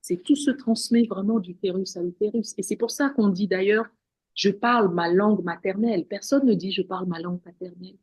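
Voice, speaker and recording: A woman's voice: mid-range voice, a little fast, darkish tone, breathy edge, dry recording. A woman speaking as an interview guest in an earnest spiritual tone, who often repeats words and restarts her sentences. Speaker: guest, women's wellness practitioner.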